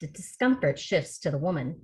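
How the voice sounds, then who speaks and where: Woman, lowish pitch, scripted narration, stage directions, video call. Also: lively intonation, moderate pace, mellow.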